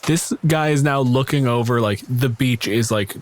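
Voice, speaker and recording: A man's voice: medium pitch, moderate pace. Mid-voiced man running a tabletop game. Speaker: game master. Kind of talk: casual improvised game narration.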